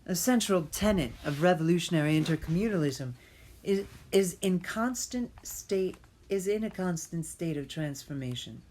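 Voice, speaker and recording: A woman reading an academic text aloud as an amateur, haltingly, often going back to repeat a phrase and correct herself. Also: fairly low pitch, animated, a little mellow. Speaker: reader.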